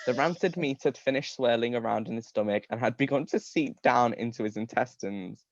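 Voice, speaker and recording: A man's voice: mid-range voice, a little fast, dry recording, dull audio. A man giving a live comedic reading of a story, amused, often breaking into laughter and tripping over his words. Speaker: reader of comic fan fiction.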